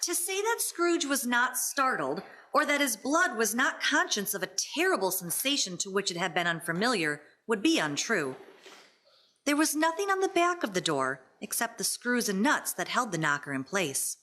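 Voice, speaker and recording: A woman's voice: a little high, very animated, normal speed, sharp tone. A woman narrating a radio play as a dramatic storyteller.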